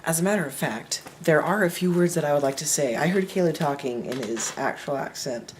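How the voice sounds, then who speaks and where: A man's voice: fairly high pitch, fairly quick, a little hiss or hum. Playful boy with a faked British accent. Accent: put-on British. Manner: chatty, playful, joking. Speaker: co-host. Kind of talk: joking mock interview.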